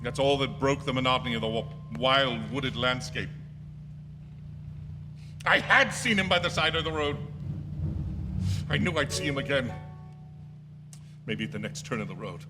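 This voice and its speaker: A man's voice: medium pitch, moderate pace, pauses often, lightly acted. A man playing a haunted, anxious lone motorist in a radio drama, intense and sometimes stammering. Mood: weary, brooding. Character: haunted, anxious lone male motorist narrating his ordeal. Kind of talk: dramatic first-person radio drama narration. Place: theatre stage. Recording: reverberant theatre hall, music underneath. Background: dramatic music.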